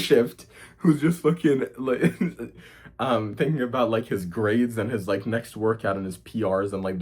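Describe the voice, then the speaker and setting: Young male cooking host, Hungarian accent gone a bit American, low husky voice, profane off-the-cuff vlog rant. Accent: Hungarian, partly Americanized. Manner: profane, rambling, with frequent fillers. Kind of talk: casual rant to camera.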